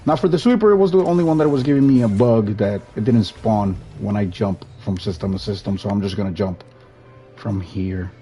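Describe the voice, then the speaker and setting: A man's voice: lowish voice, brisk, dark tone, slight background noise. A young man from Puerto Rico with a Puerto Rican Spanish accent, a native Spanish speaker chatting easily through his gameplay commentary and often restarting his sentences. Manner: easygoing, rambling, restarts sentences. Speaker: streamer.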